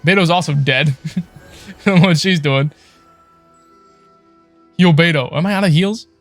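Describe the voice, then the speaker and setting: A man's voice: on the high side, unhurried, fairly bright tone, no room sound. A man livestreaming a video game with casual, foul-mouthed, exasperated commentary. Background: video game music.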